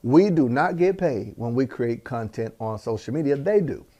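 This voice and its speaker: A man with a Southern American accent, an energetic and folksy business coach talking straight to his viewers. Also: medium pitch, moderate pace, no room sound. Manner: energetic, animated, folksy with jokes and laughs. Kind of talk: direct-to-camera teaching talk.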